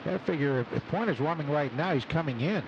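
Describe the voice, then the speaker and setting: A man doing live radio baseball play-by-play, calling the action in clipped phrases between pauses. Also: a little high, animated, lo-fi sound. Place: open broadcast booth at outdoor ballpark. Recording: noisy outdoor ballpark broadcast. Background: loud stadium ambience.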